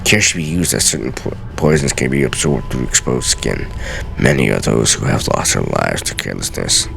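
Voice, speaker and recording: A man playing a solemn, brooding scholar reading out secret journal pages in a grave, measured, theatrical way for a game. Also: very deep voice, vocal fry, fairly bright tone, faint music behind, exaggerated voice. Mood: instructive. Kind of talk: dramatic reading of game lore.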